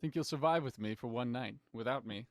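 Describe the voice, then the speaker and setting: A man's voice: mid-range voice, a little fast, dry recording, lightly acted. Bitter, teasing male Victorian doctor played by a man, middle register, dry recording, audio drama villain. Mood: breezy teasing. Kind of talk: audio drama murder mystery acting.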